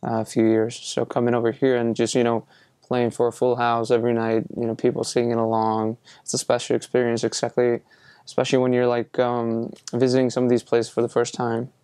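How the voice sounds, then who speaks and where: Man from Iceland, gravelly, flat and even, relaxed hesitant answers, dry close sound. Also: medium pitch, a little fast, no room sound. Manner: relaxed, hesitant, many fillers and restarts. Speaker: musician being interviewed. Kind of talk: casual interview answers.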